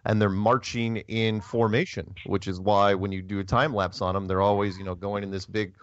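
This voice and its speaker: A man with a low voice hosts a casual talk show. He explains emphatically and conversationally. The sound is dry, with a slightly muffled top end. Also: level tone, normal speed, dry recording. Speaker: radio show host. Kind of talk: casual talk radio discussion.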